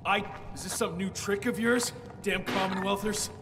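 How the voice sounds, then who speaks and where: An actor playing a wary, erratic stranded spaceman in a video game, with a halting, animated delivery. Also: sits high, creaky, far from the mic, faint music behind. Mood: suspicious, confused. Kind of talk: dramatic video game acting.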